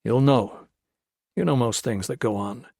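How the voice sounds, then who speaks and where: Reader playing a cool, brash wheeler-dealer, blunt and matter-of-fact. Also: male voice, mid-range voice, measured pace, vocal fry, a little mellow, dry recording, studio quality. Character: brash, shrewd American soldier-trader, cool and businesslike.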